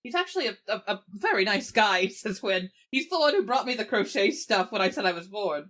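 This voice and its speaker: A woman's voice: medium pitch, very animated, fairly quick, fairly bright tone. A woman with a middle-range voice takes part in a tabletop role-playing game. She improvises her character's actions and remarks in casual speech.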